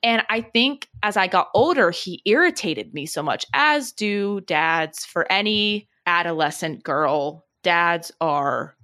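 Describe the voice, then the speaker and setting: Podcast co-host: American-accented woman, slightly low register, candid and reflective, conversational delivery. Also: lively intonation, takes it slowly, hardly any pauses, sharp tone. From born in Germany, lived in England, in the US from age seven. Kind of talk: casual personal conversation.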